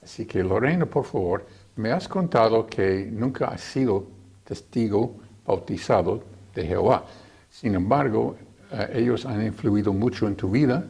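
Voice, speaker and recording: Male interviewer; very low, husky voice with gravelly fry; steady, attentive host. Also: unhurried. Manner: gives constant short backchannel acknowledgements. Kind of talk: interview hosting.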